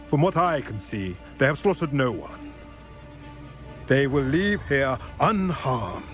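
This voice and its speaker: A slow, measured old centaur, grave and wise. His pitch moves widely as he speaks. Game music plays clearly beneath the voice. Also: very animated, fairly bright tone. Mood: firm. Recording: game audio with music underneath.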